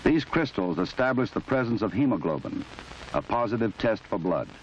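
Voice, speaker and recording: Male narrator, low register, measured and exact instructional read, dated film audio with faint background noise. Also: expressive. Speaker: narrator of a forensic training film. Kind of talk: formal scripted documentary narration. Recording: muffled, noisy old 1970s film soundtrack.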